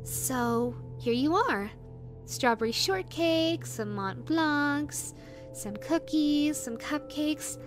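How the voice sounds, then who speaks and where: A woman's voice: high-pitched, animated, slow, over music. A woman voicing a possessive, sweetly menacing yandere rabbit woman in a roleplay audio drama, coaxing and condescending in a saccharine way. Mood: sweet, doting.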